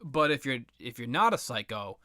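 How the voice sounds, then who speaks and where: A man giving casual, rambling, opinionated video game commentary with sarcastic, profane ranting and a halting, repetitive delivery. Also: mid-range voice, big pitch swings, sharp tone, no echo, studio quality. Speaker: video game reviewer and host.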